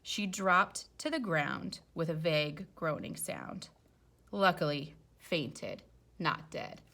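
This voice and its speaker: A woman's voice: low-pitched, expressive, slow, creaky, bright, forceful tone. A woman teacher reading a children's storybook aloud expressively, with chatty explanatory asides for young listeners.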